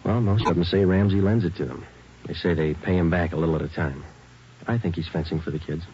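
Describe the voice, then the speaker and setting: An actor playing a hard-boiled police sergeant who narrates cases in a clipped, deadpan 1940s radio style. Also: male voice, low-pitched, fast, a little hiss or hum. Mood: businesslike. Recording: dull vintage radio recording with music.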